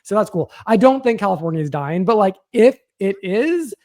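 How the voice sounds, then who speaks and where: A man's voice: high-pitched, lively intonation, normal speed, darkish tone. An American man hosting a podcast, chatting casually about politics in a blunt, informal way. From Washington State, United States. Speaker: podcast host.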